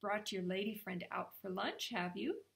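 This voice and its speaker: A woman's voice: mid-range voice, lively intonation, moderate pace, vocal fry, a bit bright. A woman voicing a kindly, cheerful younger shop woman in a storybook. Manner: kindly, cooing.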